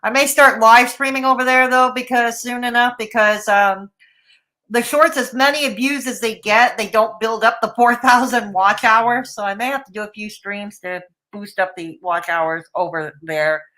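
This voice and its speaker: Sarcastic woman with a bright voice. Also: on the high side, fairly even intonation, moderate pace. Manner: sarcastic, mocking. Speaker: drama commentary streamer. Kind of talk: live stream commentary.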